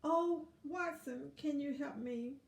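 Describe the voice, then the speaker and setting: A woman's voice: high-pitched, slow, gravelly, voice pushed up, full character voice. A woman voicing a sad little storybook ladybug, tearful and pleading for help. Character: sad, sniffling little ladybug, female, worried about her friend. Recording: roomy echo, distant mic.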